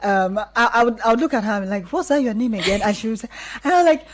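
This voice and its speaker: A woman's voice: fairly high pitch, fast talker, crowd noise. A Nigerian-accented woman hosting casual radio chat, talking expressively like a storyteller. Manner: expressive, storytelling with acted-out quotes. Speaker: radio host. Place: radio studio.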